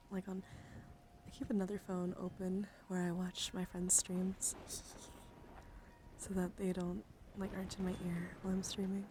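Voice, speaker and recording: A woman hosting an ASMR livestream, drifting between whispers and soft, playful, chatty speech as she talks to viewers. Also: mid-range voice. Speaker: livestream host. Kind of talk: ASMR card showcase with casual chat to viewers. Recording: clearly noisy background.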